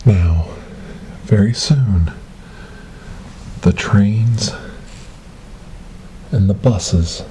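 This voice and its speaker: A man playing a calm, hypnotic government paranormal investigator in a whispered ASMR horror role-play, speaking softly, breathily and deliberately. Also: lowish voice. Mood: hushed, ominous. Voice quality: soft, breathy, often dropping into whisper. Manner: hushed, deliberate, repetitive, hypnotic. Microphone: close binaural microphone. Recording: noisy close binaural recording.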